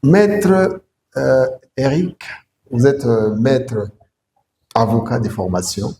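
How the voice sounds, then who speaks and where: A man's voice: mid-range voice, sing-song, takes it slowly, warm tone. A man hosting a talk show, asking questions conversationally and recapping the guest's answers for listeners. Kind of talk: studio interview questions. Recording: echoey room, faint background noise.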